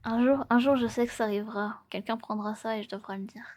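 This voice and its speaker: Soft high-voiced young woman, often whispering. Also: fairly even intonation, quick pace. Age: 20s. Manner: playful, chatty, repeats phrases. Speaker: live streamer. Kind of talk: casual livestream chat, often whispered ASMR.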